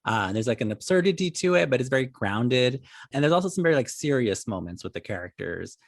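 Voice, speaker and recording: A man's voice: mid-range voice, very animated, fast talker, no echo, clean studio sound. A man hosting an interview in a casual, conversational style. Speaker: interview host.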